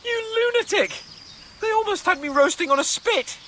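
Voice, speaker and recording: A man's voice: extremely high pitch, expressive, normal speed, sharp tone, a little hiss or hum, heavily acted. A man playing a boastful, pompous young nobleman in a medieval video game cutscene, mocking and full of theatrical exclamations. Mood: playful bluster.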